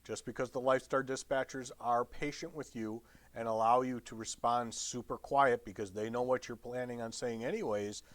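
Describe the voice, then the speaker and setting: A man giving an instructional lecture as a trainer, blunt and direct with wry humor and emphatic pauses on key phrases. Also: mid-range voice, no room sound. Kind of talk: instructional lecture on radio procedure.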